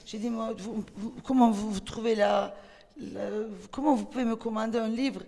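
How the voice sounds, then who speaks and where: A woman with a Turkish accent, a native Turkish speaker, speaking at a festival panel, hesitant, with many fillers and restarts, animated when she tells a story. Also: on the high side, moderate pace, gravelly. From Turkey. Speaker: panel guest, writer. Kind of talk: panel discussion. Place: festival hall. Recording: long hall reverb.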